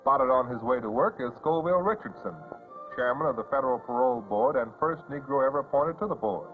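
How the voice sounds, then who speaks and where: A man's voice: mid-range voice, animated, fast. Tinny 1950s American newsreel man over music. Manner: formal announcer delivery. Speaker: newsreel narrator. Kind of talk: 1950s newsreel scripted narration. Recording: tinny vintage newsreel soundtrack, band-limited. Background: loud background music.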